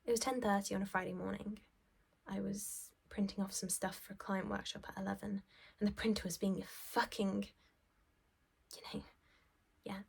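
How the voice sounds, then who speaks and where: A woman performing a stage monologue as a self-absorbed, well-off young office worker, shaken, halting and sweary as she recounts events. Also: medium pitch, little pitch movement. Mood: numb, shaken. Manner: halting, sweary, breathy drops into near-whisper. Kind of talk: dramatic stage monologue, conversational first-person recounting.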